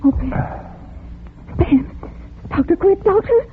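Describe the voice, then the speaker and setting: An actress playing an adoring, anguished bride in a 1940s radio drama. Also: female voice, very high-pitched, expressive, slow, exaggerated voice. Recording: noisy, phone-like old radio recording.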